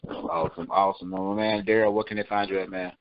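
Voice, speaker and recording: A man with a fairly low voice hosts a casual podcast. His speech is informal and conversational. The recording is dry and somewhat dull, like lo-fi online audio. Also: level tone, fast, clean tone, no room sound. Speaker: podcast host. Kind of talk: casual podcast chat and sign-off.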